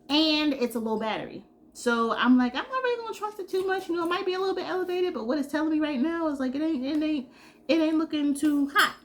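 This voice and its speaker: A sick, congested young woman from Georgia chatting casually in a vlog, telling a story in an animated way and acting out what people said. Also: a little high, slight background noise. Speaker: vlogger.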